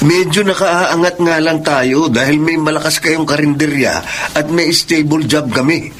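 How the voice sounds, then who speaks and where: A man's voice: fairly high pitch, moderate pace, a bit bright, a little hiss or hum, natural voice. A man playing a reproachful, self-interested grown son in a Filipino radio drama.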